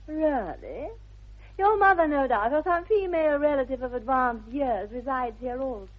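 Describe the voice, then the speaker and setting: High-pitched, haughty young English aristocrat. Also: female voice, animated, moderate pace. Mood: haughty, candid. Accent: upper-class English. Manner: affected, precise, imperious politeness. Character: poised, haughty young aristocratic lady, grandly self-assured. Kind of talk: 1960s radio comedy of manners acting. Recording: dull vintage 1960s radio recording.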